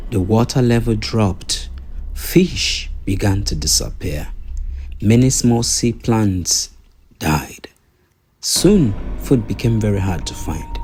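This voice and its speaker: Deep, slow male storyteller with gravelly edge. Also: warm tone. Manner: slow, measured, with short phrases and pauses. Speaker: folktale narrator. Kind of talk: scripted storytelling narration.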